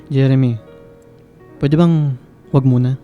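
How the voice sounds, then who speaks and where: A male actor plays a young student, quietly resentful and lovesick, in a radio drama. His pitch sits in the middle, and music plays behind him. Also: steady tone, slow pace, highs cut off, close to an everyday voice. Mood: weary, withdrawn. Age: young adult. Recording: background music under the dialogue.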